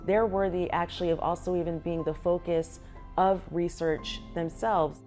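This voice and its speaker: Low, mellow middle-aged woman academic. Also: fairly even intonation, normal speed, soft background music. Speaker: public health professor. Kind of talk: documentary interview.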